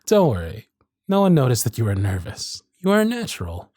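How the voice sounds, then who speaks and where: A man playing a charming, gentle circus magician who is smitten and privately anxious, speaking tenderly and softly in an ASMR romance roleplay. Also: medium pitch, unhurried, breathy edge, no room sound, studio quality, full character voice. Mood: warm, charming. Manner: soft-spoken, flattering, tender, with hushed asides. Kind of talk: ASMR romance roleplay with intimate inner monologue.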